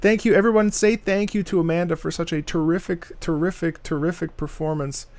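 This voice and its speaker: A man's voice: fairly high pitch, steady tone, fairly quick, darkish tone, no echo. A man hosting a livestream, giving casual, enthusiastic and effusive live commentary as he reacts to game music.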